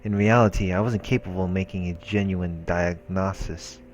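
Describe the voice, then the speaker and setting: Low-voiced man reading a game aloud. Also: fairly even intonation, normal speed, dull audio, a little hiss or hum. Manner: informal, stumbles while reading, exclaims. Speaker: let's play host reading a visual novel aloud. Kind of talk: casual commentary mixed with reading game narration aloud. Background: background music from the game.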